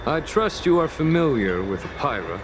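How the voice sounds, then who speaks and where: An actor playing a wise middle-aged general in a video game, calm, measured and formal. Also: male voice, mid-range voice, noisy background, lightly acted. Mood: grave. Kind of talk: game voice acting.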